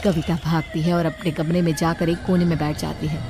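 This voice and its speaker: A woman's voice: low-pitched, flat delivery, fairly quick, very noisy. A woman reading scripted horror story narration as the storyteller.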